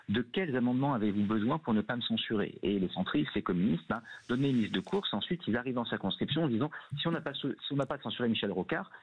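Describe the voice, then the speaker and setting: A man's voice: medium pitch, husky, narrow, tinny audio. A man giving structured, colloquial political analysis as an expert guest in a radio discussion. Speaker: guest political scientist and law lecturer. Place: radio studio.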